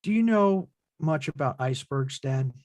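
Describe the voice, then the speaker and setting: A man's voice: on the high side, lively intonation, moderate pace, a little mellow, no echo, lightly acted. A man playing a frustrated, earnest adult son in a naturalistic dramatic table read. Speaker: actor in a screenplay table read.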